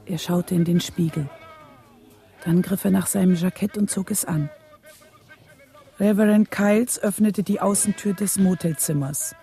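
A woman's voice: on the low side, fairly even intonation, a little slow, long pauses, dark tone, soft background music. A woman narrating a scripted radio drama in a sober, factual storytelling manner. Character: sober narrator recounting a historic killing.

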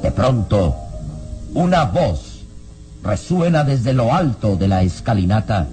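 Casting: male radio-drama narrator, very low pitch, grave and suspenseful, loud music underneath. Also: animated, takes it slowly. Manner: solemn, suspenseful storytelling.

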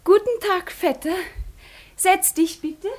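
A woman acting a proud, sharp-tongued, wounded young noblewoman in a German radio drama, theatrical, often dropping to a whisper. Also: extremely high pitch, takes it slowly. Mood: teasing, coquettish. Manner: theatrical, teasing, accusing.

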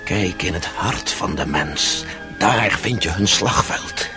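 Deep, slow, tormented Russian brooder. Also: male voice, animated, music in the background, full character voice. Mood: brooding, intense. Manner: brooding, rhetorical, dramatic. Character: tormented, passionate Russian man brooding on beauty and sin. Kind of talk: radio drama monologue.

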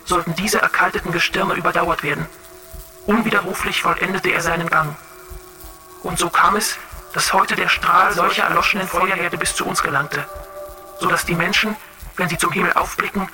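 A woman's voice: on the low side, sharp tone. An actress playing a serene, clairvoyant female android in a radio drama, speaking with dreamy eloquence. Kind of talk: German radio drama acting.

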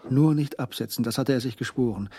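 A man's voice: mid-range voice, fairly quick, mellow, slightly rough. A man performing as the third-person narrator of a German crime radio drama, with scripted, tense storytelling. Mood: brooding.

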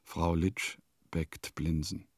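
A vain, eccentric composer in a radio play, speaking very slowly in a gravelly voice. He muses with theatrical pomp, recorded close and dry. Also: sits low, level tone, no room sound, full character voice. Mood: confiding. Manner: pompous, musing, theatrical. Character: vain, eccentric male composer musing in his diary. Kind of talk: German radio play monologue.

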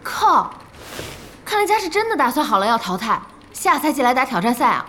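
A woman's voice: high voice, big pitch swings, a little fast, bright, forceful tone, heavily acted. A woman playing a feisty, good-hearted internet cafe boss in an audio drama, blunt and exclamatory. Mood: brisk, surprised.

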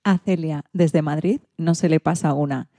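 Low, mellow-voiced Spanish woman presenter. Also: moderate pace, no echo, clean studio sound. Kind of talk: scripted narration introducing recorded messages.

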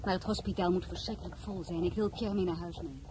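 An actress playing a poised, idealistic crown princess in a historical drama, speaking in a measured, earnest way. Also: female voice, fairly low pitch, steady tone, background noise, natural voice. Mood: concerned, resolute. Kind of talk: dramatised historical novel, radio drama acting.

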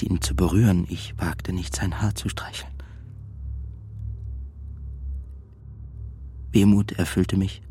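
An actor playing a tormented, melancholy man in a radio play monologue, brooding and deliberate, at times nearly whispering. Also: low voice, warm tone, a little hiss or hum. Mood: wistful, sad. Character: tormented, melancholy man confessing desire and self-loathing. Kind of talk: literary first-person monologue in radio play.

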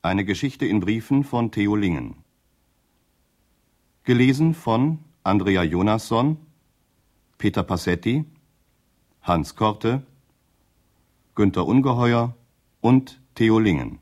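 A male announcer presents a radio play. His voice is on the low side. He reads in a scripted manner at a very unhurried pace. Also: animated, darkish tone.

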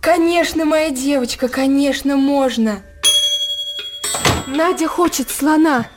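A tender, anxious mother in a radio play. Her voice sits fairly high and stays soft and coaxing, always gentle. A light hiss runs under the recording. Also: takes it slowly.

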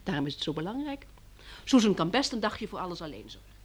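Een vrouw die in een hoorspel een speelse, zacht vasthoudende echtgenote speelt, op een lichte, plagende toon. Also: female voice, fairly low pitch, sing-song, fast. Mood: playful. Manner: light, teasing. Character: playful, gently determined wife of a weary survivor. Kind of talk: radio drama acting.

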